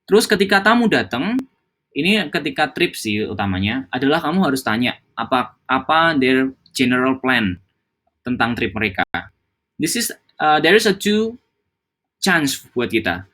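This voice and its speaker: A young man from Java walks his coworkers through guest service in a loose, conversational training session. He drifts between casual speech and English buzzwords, often pausing with fillers and restarting sentences. His voice sits at an ordinary middle pitch. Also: sing-song, sharp tone. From Java, Indonesia. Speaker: hospitality staff trainer. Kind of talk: internal training talk.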